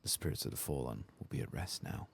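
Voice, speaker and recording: A soft-spoken man voicing a solemn, sorrowful tomb keeper from a fantasy game, speaking formally and gravely. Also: rumbling low, expressive, fast, slight background noise, full character voice. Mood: solemn, grateful. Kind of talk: reading fantasy game quest dialogue aloud.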